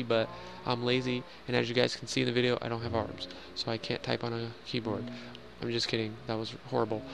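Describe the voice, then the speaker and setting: Gravelly young man rambling over gameplay. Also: medium pitch, a bit bright, slight background noise, rough recording. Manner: rambling, self-joking, filler-heavy. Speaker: Minecraft builder giving a house tour.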